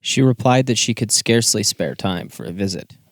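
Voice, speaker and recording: A man's voice: on the low side, expressive, brisk, no room sound. Dry-sounding male audiobook narrator. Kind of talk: scripted literary narration.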